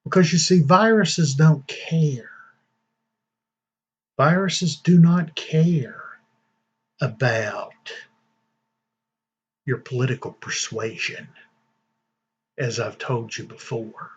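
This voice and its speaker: A man hosting his own channel, giving casual news commentary in a slow, deliberate way with fillers and long pauses. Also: mid-range voice, breathy edge, muffled recording. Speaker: vlog host.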